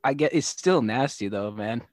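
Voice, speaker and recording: A man's voice: expressive, moderate pace, no room sound, studio quality. A young man co-hosting a casual podcast, telling stories in a laid-back way with lots of filler words. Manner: laid-back, many "like" fillers, low-key delivery. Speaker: podcast co-host. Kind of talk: casual storytelling chat.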